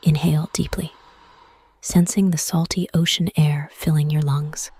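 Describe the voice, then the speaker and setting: A woman leads a guided meditation in a very breathy, partly whispered voice. It sits low, with a gravelly fry at the edges. She speaks in soothing, measured phrases with room between them. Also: little pitch movement, mellow, dry recording. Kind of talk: guided meditation, soft scripted narration.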